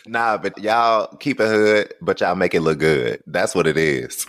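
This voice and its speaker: A man hosting a podcast, chatting casually in slangy, animated speech and swearing freely. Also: deep voice, a little slow, no room sound, studio quality. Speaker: podcast host. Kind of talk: casual chat.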